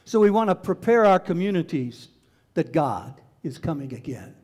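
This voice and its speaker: Brief: older male pastor, higher voice, slow steady sermon delivery, large sanctuary. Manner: slow, measured preaching with repeated phrases. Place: large church sanctuary with tall windows.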